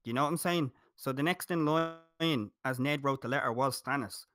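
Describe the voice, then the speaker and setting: A man's voice: mid-range voice, normal speed, fairly bright tone. An Irish man talking as a guest in a casual podcast chat, joking and emphatic. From Ireland.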